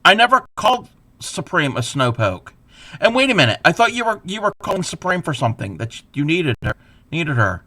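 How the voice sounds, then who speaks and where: Man softly counting crochet stitches. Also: on the high side, big pitch swings, brisk. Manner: counts stitches softly under his breath between chat; laughs at himself, chats back to viewers. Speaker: crochet livestreamer. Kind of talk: casual livestream chat while crocheting.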